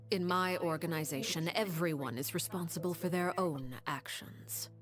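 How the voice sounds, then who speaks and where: A woman's voice: on the low side, music in the background, close to an everyday voice. A woman playing a cold, composed matriarch. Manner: calm, measured, quietly menacing. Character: cold, poised woman leading a ruthless house. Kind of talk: video game voice acting.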